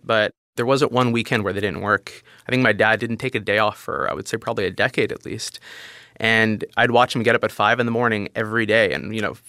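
A man from New York City, French as his first language, answers interview questions in a fluent, warm way. His low voice is bright and crisp, recorded very dry and close. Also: no echo. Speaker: guest, restaurant founder.

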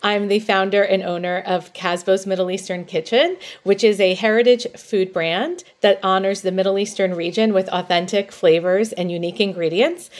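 A woman's voice: medium pitch, steady tone, normal speed, few pauses. A middle-aged woman calling in to a podcast advice line, describing her food business in a poised, articulate way. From New Jersey. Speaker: caller. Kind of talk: call-in podcast advice segment.

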